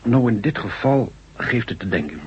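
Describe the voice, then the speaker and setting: Deep-voiced uneasy burly workman. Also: lively intonation, moderate pace, breathy edge. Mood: suspicious, confiding. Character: burly, folksy male construction worker, deferential to boss, uneasy. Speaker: actor in a radio play. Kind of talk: Dutch science fiction radio drama acting. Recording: dull, noisy old radio recording.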